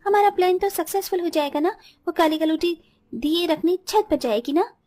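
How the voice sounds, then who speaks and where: Casting: woman voicing a snooty young cartoon schemer, very high voice, snappish and scornful. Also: normal speed, a little mellow. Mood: scheming. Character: spiteful, scheming young sisters-in-law, haughty college girls. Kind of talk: Hindi cartoon story dialogue.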